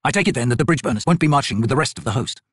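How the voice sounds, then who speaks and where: A man's voice: medium pitch, expressive, racing, husky, no room sound, clean studio sound. A man voicing a young noble-born army captain in a fantasy audiobook, formal, guarded and restrained.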